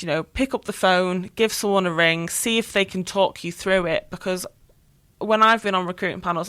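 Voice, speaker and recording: A woman's voice: lowish voice, fairly bright tone, clean tone, no echo. A woman, speaking as an interview guest and giving chatty, earnest career advice with frequent "you know"s. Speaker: interview guest, council officer. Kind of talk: casual conversational advice.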